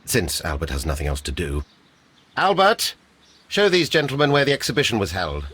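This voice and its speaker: An actor playing a curt, bossy deputy director of botanic gardens in a video game, officious and formal. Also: male voice, on the low side, huge pitch swings, normal speed, a bit bright, slightly rough, full character voice. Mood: bossy, irritated.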